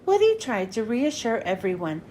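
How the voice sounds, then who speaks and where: A woman narrating a children's storybook as a read-aloud host, with expressive, clearly phrased delivery. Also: fairly high pitch, moderate pace, slight background noise.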